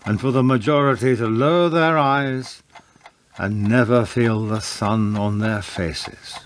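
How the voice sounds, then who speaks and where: A man's voice: mid-range voice, a little slow, a little hiss or hum, natural voice. A man playing a solemn, brooding speaker delivering a monologue in a radio play.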